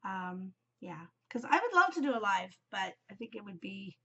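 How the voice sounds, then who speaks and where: A woman's voice: mid-range voice, sing-song, moderate pace, bright, forceful tone, husky. Stuffy-nosed lady rambling on her knitting podcast, lots of ums, kinda muffled lo-fi sound. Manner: rambling, many ums, chatty. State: congested, voice shot from a cold. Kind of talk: casual solo chat.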